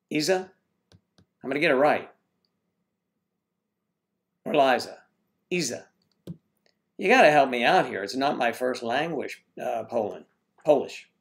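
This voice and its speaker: Rambling man hosting a casual livestream. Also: medium pitch. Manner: rambling, stumbles over viewers' names. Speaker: livestream host greeting chat viewers.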